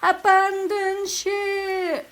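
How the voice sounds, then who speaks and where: A woman's voice: extremely high pitch, level tone, very slow, fairly bright tone, voice pushed up, full character voice. A woman voicing a kindly, practical old grandfather in a children's story. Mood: urgent yelling.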